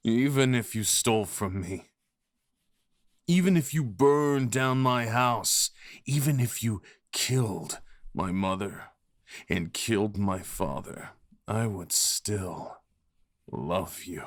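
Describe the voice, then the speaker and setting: A man playing a devoted, possessive fox spirit husband in an intimate ASMR roleplay, rambling softly and tenderly with murmured endearments. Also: fairly low pitch, expressive, takes it slowly, dry recording, clean studio sound. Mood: adoring, intense.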